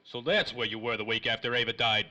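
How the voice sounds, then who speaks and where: A man's voice: mid-range voice, steady tone, fast, bright, forceful tone, low-quality recording. An actor playing a tough, menacing former private detective in a 1930s-style radio crime drama, talking in clipped, slangy tough-guy lines. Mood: commanding.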